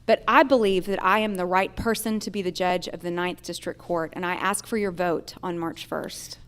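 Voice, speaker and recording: A woman with an American accent making a deliberate, rhetorical appeal to voters as a judicial candidate at a forum. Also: on the low side, fairly even intonation, clean tone. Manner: rhetorical, deliberate repeated questions. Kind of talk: political forum closing statement. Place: live in a theater.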